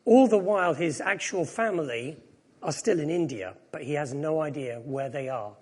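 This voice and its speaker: Middle-aged man, high husky voice, reflective sermon, wry and candid. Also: expressive, moderate pace, a little mellow. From Japan. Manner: reflective, self-deprecating. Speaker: preacher. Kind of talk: personal sermon.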